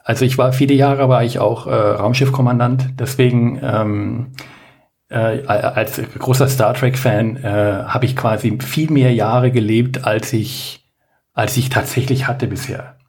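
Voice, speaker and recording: A man's voice: mid-range voice, fairly even intonation, a little slow, vocal fry, a little mellow. A man in his late forties, a guest coach on a podcast, talking casually with lots of fillers, restarts and self-mocking jokes. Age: 40s.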